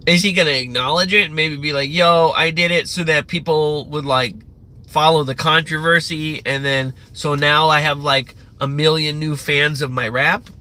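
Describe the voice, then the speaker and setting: Bright-voiced rambling man ranting live. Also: on the high side, fairly even intonation, moderate pace. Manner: loose, profane, meandering talk with many fillers. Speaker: livestream host.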